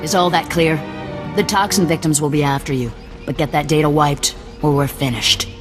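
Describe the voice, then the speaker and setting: An actress playing a hard-nosed, distrustful resistance leader who gives clipped, commanding orders. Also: female voice, sits low, fairly even intonation, normal speed, music in the background, close to an everyday voice. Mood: stern. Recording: echoey game cutscene with loud score.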